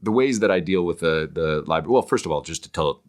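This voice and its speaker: A man with a deep, low voice talks as a guest in an interview. He explains in a scholarly, discursive way, often pausing mid-phrase to find the next word before carrying the thought on. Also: expressive. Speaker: podcast guest, author on philosophy and literature.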